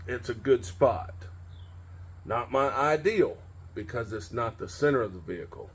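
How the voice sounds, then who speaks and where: A man in his forties with a husky, mid-pitched voice explains things as the host of a hands-on tips video. He is informal and plain-spoken, repeating his key points, and talks to a camera a step or two away outdoors in a driveway. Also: lively intonation, unhurried. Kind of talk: informal instructional demonstration to camera. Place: outdoors in a home driveway beside a pickup truck.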